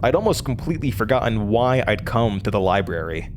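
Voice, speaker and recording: An actor playing a haunted young male college student with an American accent, narrating horror in a deliberate, intense, dramatic way. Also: fairly low pitch, big pitch swings, background noise. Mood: haunted, suspenseful. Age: young adult.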